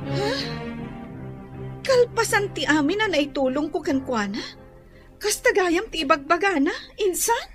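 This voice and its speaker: A woman playing a hurt, soft-hearted young woman in an Ilocano radio drama, plaintive and troubled, with an Ilocano accent. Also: very high voice, very animated, measured pace, pauses often, soft background music, heavily acted.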